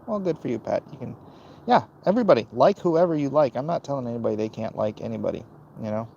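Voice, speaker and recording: A man with a gravelly voice hosting a casual, opinionated livestream, answering chat in a blunt, dismissive way and muttering between thoughts. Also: mid-range voice, animated, fast talker, darkish tone, dull audio, a little hiss or hum. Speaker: livestream host reading and answering chat comments.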